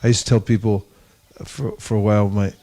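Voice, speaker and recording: A man's voice: on the low side, little pitch movement, fairly quick, dark tone. A man leading a devotional class discussion, telling anecdotes with wry humor. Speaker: discussion leader. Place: gathering in India.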